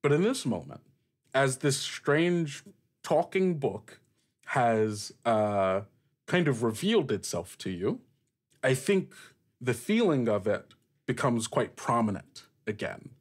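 A man with a mid-pitched, lively voice narrates a tabletop game as its game master. He improvises descriptions haltingly, feeling for each phrase. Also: breathy edge. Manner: halting, searching for words as he describes. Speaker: game master, podcast host.

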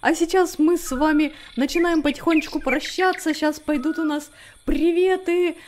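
A woman voicing a small, mischievous, excitable male ink demon in a playful cartoon comic dub. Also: sits high, normal speed, slight background noise, close to an everyday voice. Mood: cheerful. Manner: playful, exclamatory. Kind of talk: fan comic dub and show hosting. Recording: music behind.

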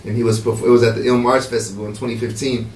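A man's voice: mid-range voice, fast. A young man, a hip-hop artist talking casually in a radio studio interview, full of fillers and restarts. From Morocco. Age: 20s. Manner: relaxed, many fillers and restarts. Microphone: studio mic with headphones, heard from a far camera. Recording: roomy, distant camera audio with faint noise.

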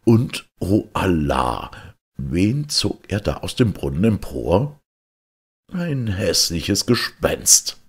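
A man reading a fairy tale as a storyteller, in a dramatic, expressive and deliberate manner. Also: deep voice, husky.

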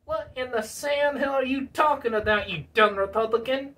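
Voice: sits high, normal speed, a bit bright, distant mic, pitched above the performer's own voice. A man voicing a grumpy male puppet politician who needles and complains in a whiny manner. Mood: indignant. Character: grumpy, teasing male puppet of a former president. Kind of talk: ventriloquist-style puppet dialogue.

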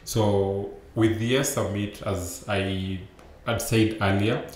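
Low-voiced man explaining tech in an echoey room. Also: fairly even intonation, takes it slowly. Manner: explanatory, loose, many fillers and restarts. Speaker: conference speaker. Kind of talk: remote tech conference talk over slides. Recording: roomy echo with faint background noise.

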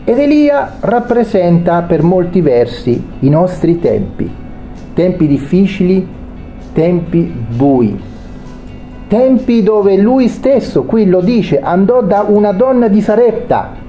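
A man preaching a sermon, reading a Bible passage aloud and then explaining it with pauses and restarts. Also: sits high, sing-song, dark tone, soft background music. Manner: reads scripture then explains it, hesitant pauses.

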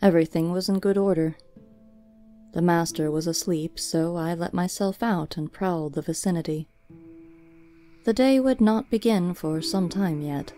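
A woman's voice: on the low side, moderate pace, dark tone, faint music behind. A woman narrator giving a storybook reading of fiction.

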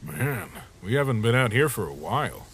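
A man voicing a brash, sarcastic younger skeleton brother with a husky voice in a comic dub, cocky and grumbling. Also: mid-range voice, big pitch swings, moderate pace, a bit bright, slight background noise. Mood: wistful.

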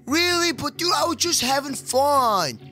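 A man voicing an excitable, whiny little moose boy in a kids' gaming cartoon, stammering and slangy. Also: extremely high pitch, unhurried, soft background music, heavily acted. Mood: playful. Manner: stammering, peppering speech with slangy address.

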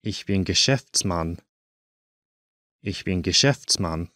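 Slow, deep-voiced man repeating phrases. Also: lively intonation, pushed and bright, no room sound, clean studio sound. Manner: says each phrase twice, slow and careful. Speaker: language teacher.

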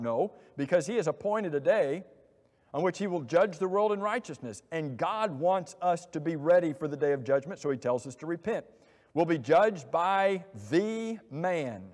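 A middle-aged man preaching a sermon from a church pulpit, animated and asking many rhetorical questions. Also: on the high side. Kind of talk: expository sermon. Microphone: lapel mic. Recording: long hall reverb.

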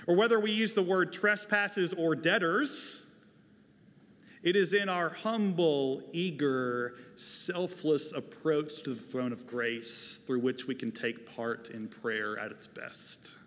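An American pastor, a man in his forties, delivering a sermon in a measured, deliberate, emphatic way. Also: sits high, fairly bright tone. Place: church sanctuary, at a pulpit. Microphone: lapel microphone. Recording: reverberant large church hall.

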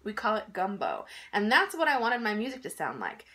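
A woman in her thirties reading a children's picture book aloud in an expressive, emphatic storytelling style. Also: medium pitch, brisk. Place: small indoor room at home.

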